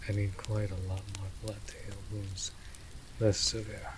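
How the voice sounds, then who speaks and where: Man acting as a slow, low-voiced breathy vampire. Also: little pitch movement, lightly acted. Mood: weary, protective. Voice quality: breathy, often whispering. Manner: formal, archaic phrasing, deliberate. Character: male vampire coven patriarch, courtly, protective, tender. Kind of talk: scripted fantasy roleplay audio, intimate. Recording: rain noise over close voice. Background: rain on surfaces.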